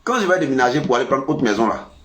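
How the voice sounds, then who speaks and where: A man with an Ivorian French accent and a cold, talking casually to his followers in a lively, scolding way. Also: racing. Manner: lively, scolding, animated pitch jumps. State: has a cold. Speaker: vlogger. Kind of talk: casual monologue to camera.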